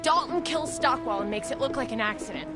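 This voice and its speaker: A woman's voice: sits high, animated, a little fast, loud music behind the voice. A woman playing a worried, insistent informant in a video game cutscene, speaking earnestly and urgently. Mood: urgent, fearful.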